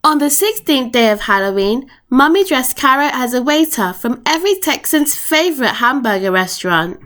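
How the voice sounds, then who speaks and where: A woman reading a children's picture book aloud in an expressive storytelling manner. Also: high-pitched, moderate pace. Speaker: storytime reader.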